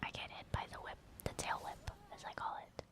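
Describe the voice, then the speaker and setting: A woman giving casual, rambling game commentary in a soft, breathy ASMR whisper, with an encouraging manner. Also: fairly quick. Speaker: gaming ASMR creator.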